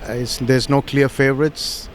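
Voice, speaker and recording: Indian-accented male organizer, courteous and halting, light venue noise. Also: mid-range voice, flat delivery. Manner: polite, hesitant, many uhs. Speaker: tournament organizer. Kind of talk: casual interview.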